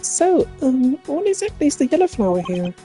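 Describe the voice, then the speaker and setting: A woman voicing a curious, eager young investigator's assistant in a video game, inquisitive and expressive. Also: high voice, moderate pace. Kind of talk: voiced video game dialogue. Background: game music.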